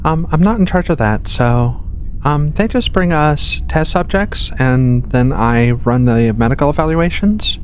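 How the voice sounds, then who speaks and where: A woman voicing a patient alien feline researcher in a soft-spoken ASMR roleplay, with hesitant, reassuring questioning over a ship-like ambient rumble. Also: lively intonation, unhurried. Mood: patient, clinical. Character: female alien feline researcher, patient, clinical, gently playful. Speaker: medical examiner.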